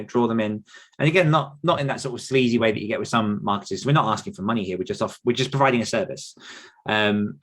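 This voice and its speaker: A man's voice: lowish voice, fairly bright tone. A British man in his thirties talking casually as a podcast guest, chatty and anecdotal in manner. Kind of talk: casual conversational interview.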